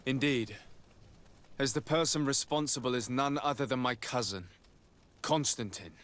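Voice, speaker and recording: A man's voice: mid-range voice, moderate pace, a bit bright, close to an everyday voice. Man acting an earnest, formal noble diplomat. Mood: grave, urgent. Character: earnest, courteous young noble diplomat, burdened by family betrayal. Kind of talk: video game cutscene dialogue acting.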